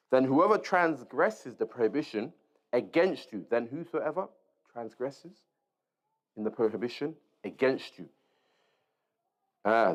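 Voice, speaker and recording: A man teaching an informal Islamic lesson, reading aloud and explaining as he goes, checking in with his listeners. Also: mid-range voice. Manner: explanatory, checks in with listeners using short tags. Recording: dull, lo-fi sound.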